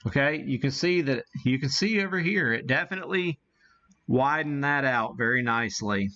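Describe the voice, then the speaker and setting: An American man hosting a casual live demo, thinking aloud in a folksy way, on muffled low-fidelity stream audio. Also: expressive, moderate pace, pushed and bright. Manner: folksy, mild homespun exclamations. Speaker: livestream host demonstrating ham radio gear.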